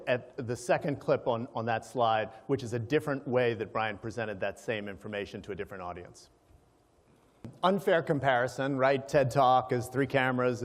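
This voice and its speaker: Man presenting in a reverberant hall. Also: medium pitch. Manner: explanatory, checks in with listeners. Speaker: conference speaker, organization president. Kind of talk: presentation to a live audience. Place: large hall, live event.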